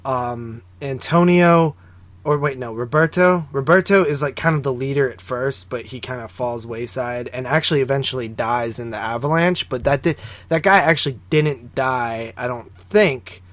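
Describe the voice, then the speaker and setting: A man's voice: mid-range voice, normal speed, a bit bright, dry recording. Rambling, informal male podcast co-host. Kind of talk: casual film recap chat.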